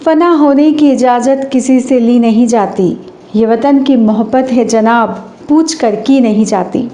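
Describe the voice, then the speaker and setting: Hoarse woman reciting anchoring lines. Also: a little high, dark tone, echoey room. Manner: formal and declamatory, slowing for verses. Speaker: channel host demonstrating a stage anchoring script. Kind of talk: recited stage anchoring with poetic couplets.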